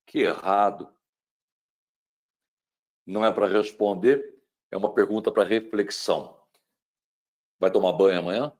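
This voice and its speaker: A middle-aged man from Brazil giving a webinar lecture on mental wellbeing, dramatic, swinging between loud emphasis and hushed tones and asking the listener rhetorical questions. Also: on the low side.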